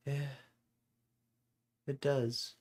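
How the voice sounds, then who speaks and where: A man's voice: mid-range voice, little pitch movement, very slow. A man hosting a show in casual, reflective chat, hesitant and often trailing off. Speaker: show host.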